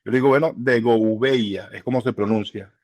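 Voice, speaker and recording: A middle-aged man, speaking as an interview guest in an unhurried way, with many hesitations and fillers. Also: mid-range voice, fairly even intonation, a little mellow, no room sound. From Venezuela. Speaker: church regional director, guest. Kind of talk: relaxed interview conversation.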